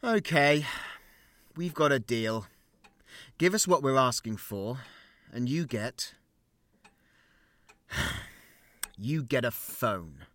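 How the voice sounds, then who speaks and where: An actor playing an exasperated dad with a short temper in an audio drama, moving between hushed mutters and loud outbursts. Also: male voice, mid-range voice, animated, very slow, pauses often, no echo. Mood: firm, strained.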